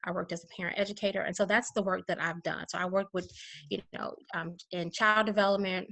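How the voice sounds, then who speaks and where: Woman in her forties, interview guest on a video call, chatty with lots of fillers, gravelly fry. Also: on the low side, steady tone, fast, sharp tone. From Chicago, USA. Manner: chatty, many fillers, laughs easily. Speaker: guest, educator.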